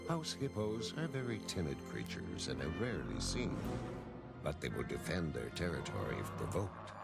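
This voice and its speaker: Casting: male documentary narrator, low gravelly voice, measured and earnest, heard over loud music. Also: big pitch swings. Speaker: narrator of a TV public service announcement. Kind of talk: mock nature documentary scripted narration. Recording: TV ad played back under loud music.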